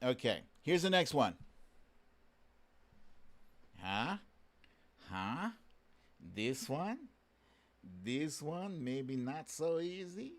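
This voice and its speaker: A man's voice: fairly high pitch, expressive, very slow, long pauses. A man hosting a casual live quiz stream, bantering with viewers in an informal, mildly sweary, teasing way.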